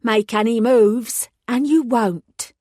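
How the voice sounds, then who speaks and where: A woman's voice: fairly high pitch, slow pace, mellow, dry recording, clean studio sound, pitched above the performer's own voice. A woman voicing a menacing female bank robber who puts on a fake Cockney accent and gives clipped, threatening orders. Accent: put-on Cockney.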